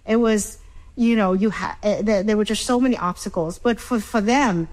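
Vietnamese-accented woman, halting speech. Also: mid-range voice, a little mellow, rough recording. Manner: halting, many restarts and fillers. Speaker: storyteller guest. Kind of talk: reflective panel conversation.

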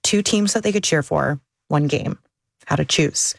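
A woman reporter narrating a documentary news story in a measured, scripted way. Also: low-pitched, gravelly.

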